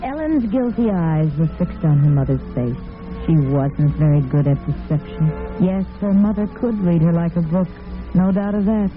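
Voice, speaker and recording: A woman's voice: fairly low pitch, animated, normal speed. A woman narrating a story in the dramatic style of old-time radio. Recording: tinny vintage radio with music underneath.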